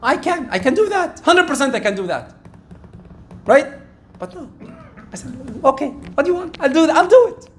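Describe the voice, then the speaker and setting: Middle-aged preacher, high voice, animated and rising to loud peaks. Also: male voice, normal speed, long pauses, a little hiss or hum. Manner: animated, acts out quoted exchanges, rising loud when excited. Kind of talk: sermon.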